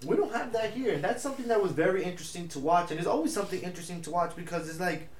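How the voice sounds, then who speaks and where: Young male co-host, Spanish first language, loose slangy delivery, a touch high, roomy echo. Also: racing, crowd noise. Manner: animated, slangy, swears freely. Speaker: podcast co-host. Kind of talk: casual chat. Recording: echoey room with faint noise.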